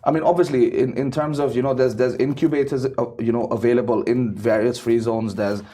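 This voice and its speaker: A man's voice: medium pitch, fairly even intonation, a little fast, mellow. A man speaking as a guest in a casual panel discussion, often repeating words and ending points with "right?". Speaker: business setup guest.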